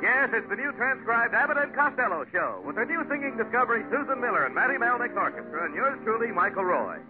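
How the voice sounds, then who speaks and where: An American man proclaims like a vintage radio announcer, stretching words showily. His pitch is high and very lively, and the broadcast is tinny. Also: music in the background. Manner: showy, drawn-out proclaiming. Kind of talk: 1940s radio show introduction.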